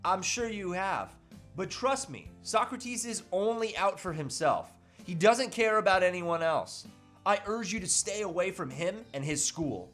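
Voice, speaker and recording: A man's voice: sits high, animated, measured pace, a bit bright, soft background music. A man playing a tormented, guilt-ridden husband, earnest and melodramatic, in an amateur table read. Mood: earnest warning. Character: tormented, guilt-ridden husband warning against a pickup artist.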